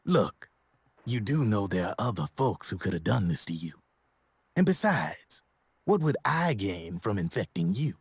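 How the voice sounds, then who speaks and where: An actor playing a streetwise, glib American arms dealer in a video game, with a slangy, theatrical storytelling manner. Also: male voice, lowish voice, very animated, a little slow, husky, dry recording, heavily acted. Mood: cocky, casual. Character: streetwise, glib arms dealer telling grim war stories. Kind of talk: video game cutscene voice acting.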